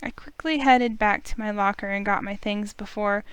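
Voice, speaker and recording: A woman hosting a game playthrough, reading the story aloud as expressive narration. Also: medium pitch, normal speed, smooth, no room sound.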